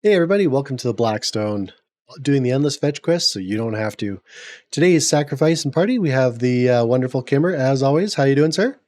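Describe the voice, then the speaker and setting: A man hosting a casual podcast, getting over a cold so his voice is a little gravelly, opinionated and prone to stumbling restarts. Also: medium pitch, animated, quick pace, a little mellow. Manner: opinionated, rambling with repeated restarts. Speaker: podcast host.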